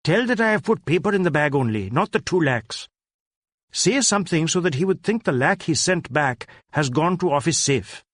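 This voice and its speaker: A man's voice: fairly high pitch, animated, moderate pace, no room sound, studio quality, pitched above the performer's own voice. A man voicing a domineering, impatient wealthy Indian businessman in an audiobook, barking commands with an Indian accent. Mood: angry, commanding. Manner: imperious, barking short repeated commands.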